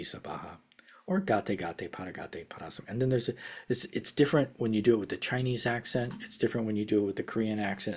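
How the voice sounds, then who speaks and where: Older man, husky low voice, rambling teacher, video call from home study. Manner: rambling, restarts, soft trailing off, chuckles. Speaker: meditation teacher. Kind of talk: casual teaching talk. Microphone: video call webcam.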